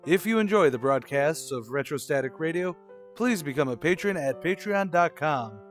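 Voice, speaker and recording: High-pitched man announcing a radio show. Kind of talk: scripted narration, old-time radio announcing.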